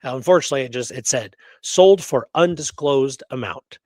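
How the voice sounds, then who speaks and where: Male history host, mid-pitched, conversational and emphatic, casual research chat in a dry recording. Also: lively intonation, normal speed, darkish tone, no echo.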